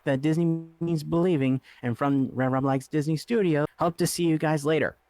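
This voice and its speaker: A man's voice: fairly high pitch, fairly even intonation, moderate pace, a little mellow, no room sound. A man appearing as a guest on a casual podcast.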